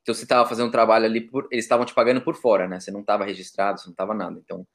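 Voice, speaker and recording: Brazilian dude hosting a chatty interview, lowish voice, talks really quick, rewords stuff as he goes. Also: flat delivery. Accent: Brazilian Portuguese. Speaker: podcast host interviewing. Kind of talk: casual conversational interview.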